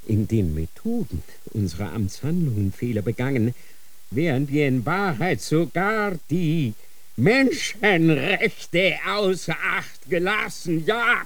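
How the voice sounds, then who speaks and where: A dying old Grand Inquisitor dictates his last decree in a radio play. He is feverish and repentant, his voice set a little high, and he speaks very slowly and solemnly. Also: dry recording. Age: older. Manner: slow, solemn dictating with sudden feverish outbursts. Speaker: actor in a radio drama. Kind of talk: radio drama acting.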